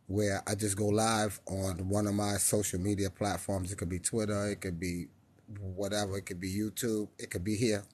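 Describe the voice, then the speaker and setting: A man's voice: on the low side, darkish tone, slightly rough. A man from New York hosting a casual live-stream podcast, rambling and interrupting himself, with frequent laughs.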